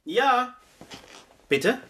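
An actor playing a young butcher's son in a German radio drama, reticent and uneasy, answering haltingly in inverted, Yiddish-tinged word order. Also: male voice, very high voice, sing-song, sharp tone, slightly rough, full character voice. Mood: reserved.

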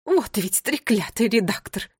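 A woman's voice: mid-range voice, moderate pace, no room sound, clean studio sound, natural voice. A woman voicing a grumpy, imperious queen of a tiny folk in a children's story, speaking with dramatic command. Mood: grumbling.

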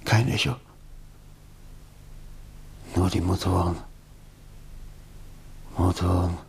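An actor in a radio play as a dying, feverish, broken writer, husky and gravelly, speaking in halting fragments. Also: male voice, low-pitched, slight background noise, exaggerated voice. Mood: weary, bitter. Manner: halting, murmuring, fragmented speech.

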